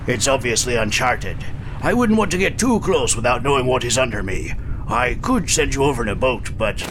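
An actor playing a cautious, formal ship's captain in an audio drama. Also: male voice, medium pitch, expressive, moderate pace, close to an everyday voice. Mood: wary, formal. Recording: noisy engine ambience.

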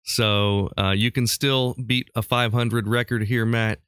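A man's voice: mid-range voice, little pitch movement, measured pace, a bit bright, smooth, dry recording, clean studio sound. A man hosting a casual podcast quiz, chatting and bantering as quizmaster.

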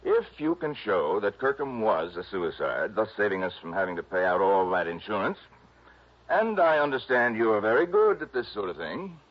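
An actor playing a fussy, tight-fisted insurance executive in an early 1960s radio drama, insistent and businesslike. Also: male voice, on the low side, sing-song, moderate pace. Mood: grudging, bargaining. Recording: muffled vintage radio recording.

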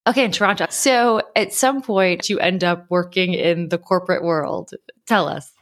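A woman host with a slightly low voice, bright in her manner and chatty, laughing easily between her questions. She opens the show and then steers a relaxed conversation. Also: normal speed. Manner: upbeat, chatty, laughs often. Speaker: podcast host. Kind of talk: podcast intro and interviewing.

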